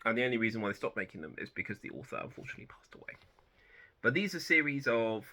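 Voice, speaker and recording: A man in his thirties hosting a book channel, chatting casually about personal favourites in a relaxed, rambling way that often corrects itself. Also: lowish voice. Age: 30s. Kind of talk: casual personal chat to camera.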